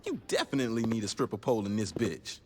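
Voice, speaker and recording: An actor playing a cocky, slick young gang recruit in a video game, confident and slangy. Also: male voice, on the low side, huge pitch swings, fast talker, slightly rough, heavily acted. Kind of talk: cinematic video game dialogue. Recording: very noisy game audio with traffic.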